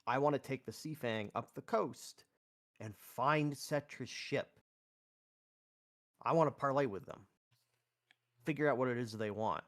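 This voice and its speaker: A man with a mid-pitched voice talks as a player in a tabletop roleplaying game. His delivery is casual gameplay chat. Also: measured pace, pauses often, a bit bright.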